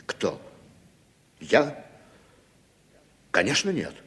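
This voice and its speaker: An actor playing a nervous, evasive writer with a husky voice and a defensive manner in a Soviet radio drama. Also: male voice, mid-range voice, wildly swooping pitch, very slow, fairly bright tone, heavily acted. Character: evasive, nervous male writer under suspicion.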